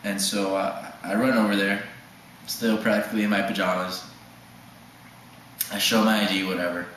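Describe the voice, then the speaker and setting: An eighteen-year-old man giving a halting, stammering mock documentary testimony. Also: lowish voice, little pitch movement, fairly bright tone. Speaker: student interviewee. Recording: echoey room with faint noise.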